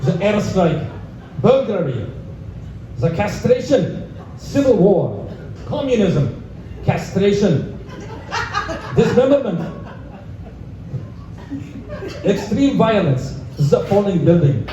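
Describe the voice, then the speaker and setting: A man's voice: sits high, very animated, heavily acted. Man putting on a stiff accented hotline announcer. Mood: mock-formal. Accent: put-on Lebanese, slipping toward Scottish. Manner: stiff, formal recorded-message delivery, slow list reading. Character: male pre-recorded travel hotline announcer. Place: pub comedy room, live audience. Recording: echoey bar room, distant mic, dull sound, noisy.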